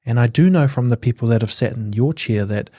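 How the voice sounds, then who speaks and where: A podcast host, male, asks his questions in a calm, measured way at a middling pitch. The recording sounds close and dry, with no room around him. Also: no echo. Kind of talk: interviewer, brief prompts and backchannels.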